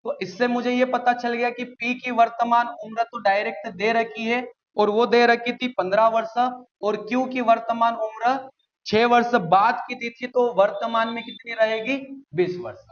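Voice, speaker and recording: High-voiced man teaching a maths lesson. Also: fairly even intonation, moderate pace, dull audio, soft background music. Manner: explains step by step, often asks and answers his own questions; calls viewers "friends" often. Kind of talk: exam-prep maths lesson.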